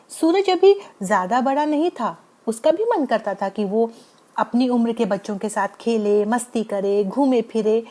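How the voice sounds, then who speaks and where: A woman's voice: mid-range voice, a little mellow. A woman narrating a scripted bedtime moral story.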